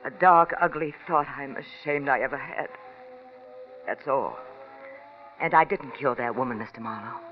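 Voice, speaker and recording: A woman's voice: very deep voice, moderate pace, pauses often. A woman acting a proud, emotional wealthy lady in a 1940s-style radio mystery, earnest and insistent. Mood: flustered, defensive. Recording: dull vintage radio recording, faint music.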